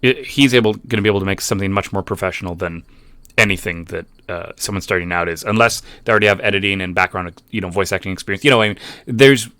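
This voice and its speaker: Brief: male reactor, low-pitched, dry booth sound, doubtful and digressive. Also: quick pace, pushed and bright, clean tone, no echo. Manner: skeptical, rambling with restarts. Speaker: YouTube commentator. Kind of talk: casual reaction commentary.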